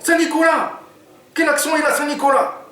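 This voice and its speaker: A man's voice: very high voice, fairly even intonation, moderate pace, bright, forceful tone, pitched above the performer's own voice. An author reading aloud, playing a nervous young male robber sidekick who keeps protesting. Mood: alarmed. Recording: roomy echo, distant mic.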